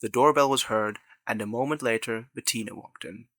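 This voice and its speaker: A man's voice: medium pitch, a little fast, a bit bright, no room sound. A man reading scripted narration for an audio drama as its narrator.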